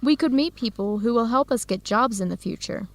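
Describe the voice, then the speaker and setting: A woman's voice: a little high, a little fast, no room sound, close to an everyday voice. A woman with an American accent playing a friendly, encouraging young researcher in an educational radio drama. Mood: explaining, encouraging. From United States.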